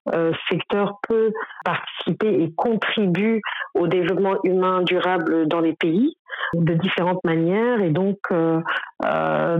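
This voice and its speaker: A woman from Burundi answers interview questions about her work, pausing often with fillers and restarting her sentences. Her voice comes through slightly muffled and dry, as if over a remote line. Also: on the low side, dry recording. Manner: hesitant, many fillers and restarts. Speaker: guest, director of a cultural organization.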